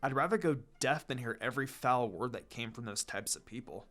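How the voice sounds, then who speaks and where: A man's voice: mid-range voice, expressive, fairly quick, bright, forceful tone. A man voicing a hot-tempered teenage ninja girl in an emphatic, exasperated way for a fan fiction reading. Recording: music behind the voice.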